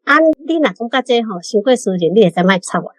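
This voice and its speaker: A woman's voice: medium pitch, lively intonation, quick pace, no echo. A woman with a Taiwanese accent, politely and casually putting questions to a guest author. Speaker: questioner in a book discussion. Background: plucked guitar music.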